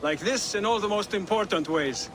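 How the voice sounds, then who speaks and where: A man's voice: sits high, normal speed, close to an everyday voice. A man playing a polite, thoughtful scholar-warrior in a video game, speaking courteously and carefully. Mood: courteous, content. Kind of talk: video game dramatic dialogue. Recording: loud ambient noise behind voice.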